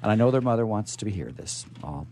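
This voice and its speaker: A man hosting a radio show, chatting and reading aloud in a rambling, halting way, often repeating words. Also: lowish voice, very animated, a little mellow, slight background noise. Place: radio studio. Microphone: studio microphone.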